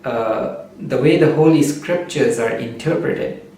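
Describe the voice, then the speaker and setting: A man whose native language is Urdu gives a slow, measured spoken reflection and often pauses with "uh". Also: mid-range voice, dark tone. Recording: very echoey room, distant mic, faint background noise.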